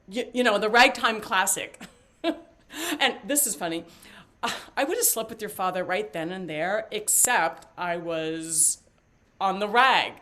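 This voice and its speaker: A woman's voice: mid-range voice, a bit bright, close to an everyday voice. An actress playing a talkative, flippant older mother in a stage play, rambling and joking theatrically with stammered asides.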